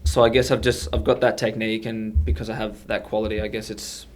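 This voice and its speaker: A man's voice: lowish voice, level tone, brisk. A young Australian man, a footballer, answering questions in a casual interview.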